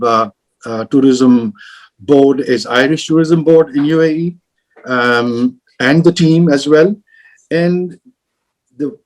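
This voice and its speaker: A man moderating an online travel industry panel on a video call, halting with many fillers and restarts. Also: on the high side, darkish tone, low-quality recording.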